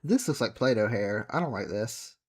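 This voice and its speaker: A man streaming himself drawing, chatting casually and softly, often trailing off mid-thought as he works. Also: fairly low pitch, animated, moderate pace, breathy edge. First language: English. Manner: soft, musing, trails off mid-thought while working. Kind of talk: casual chat while drawing. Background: faint background music.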